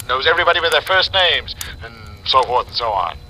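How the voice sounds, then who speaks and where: An actor in 1940s radio style playing a brisk, slick newspaper syndicate man with a clipped, persuasive salesman's delivery. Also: mid-range voice, very animated, pushed and bright, rough recording. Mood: eager, coaxing. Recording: vintage radio broadcast, faint noise.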